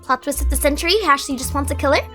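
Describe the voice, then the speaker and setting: Chatty, higher-voiced woman streamer over music. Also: fairly even intonation, very fast. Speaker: gaming streamer. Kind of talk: casual gameplay commentary.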